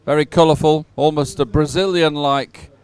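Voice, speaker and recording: Male sports studio host. Clean, smooth voice, close dry sound, chatty and lightly joking. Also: fairly high pitch, fairly even intonation, normal speed, a little mellow, no room sound. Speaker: host and commentator. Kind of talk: casual live sports studio chat and commentary.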